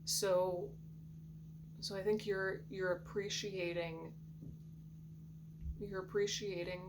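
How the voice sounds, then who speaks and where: A woman with a groggy morning voice reads tarot in a soft, reflective way. She speaks very slowly and sits a little low in pitch, pausing now and then to clear her throat. A clear electrical hum runs under the whole recording. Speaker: tarot reader. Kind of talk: intuitive tarot reading. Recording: steady background hum.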